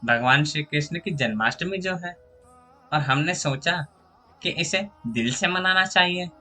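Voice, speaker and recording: A man voicing a gentle, contented village mother in a moral story, reassuring and instructive. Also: on the high side, measured pace, faint music behind, exaggerated voice.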